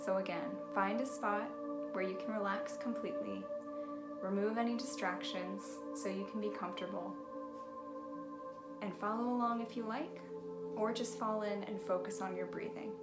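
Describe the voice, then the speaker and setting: A woman guides a meditation in a soothing, gentle way. Her voice sits at a medium pitch and she gives instructions without hurry. Music plays clearly underneath her words. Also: normal speed. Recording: music behind the voice.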